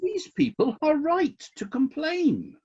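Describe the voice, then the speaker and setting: An actor playing an exacting, fair-minded celestial boss in a satirical radio play, speaking in a measured, questioning and politely insistent way. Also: sits high, lively intonation, a little mellow, dull audio, heavily acted.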